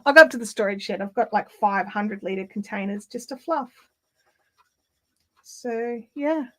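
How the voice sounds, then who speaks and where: A woman hosting a casual craft livestream, chatting in a rambling way with lots of fillers and restarts, her throat slightly raspy. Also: mid-range voice, measured pace, fairly bright tone, rough recording. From Australia. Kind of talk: casual live chat while spinning. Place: home craft room.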